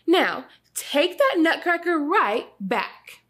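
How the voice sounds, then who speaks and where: Woman voicing a high, scolding mother squirrel. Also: big pitch swings, a bit bright, exaggerated voice. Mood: scolding, exasperated. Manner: exasperated, drawn-out emphasis.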